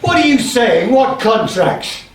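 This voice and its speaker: A man's voice: very high voice, sing-song, a little slow, exaggerated voice. A male actor playing a grave, flustered sea god with a solemn, defensive manner in a live stage comedy. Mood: suspicious. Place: small playhouse stage, live performance. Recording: distant echoey theater recording, faint noise.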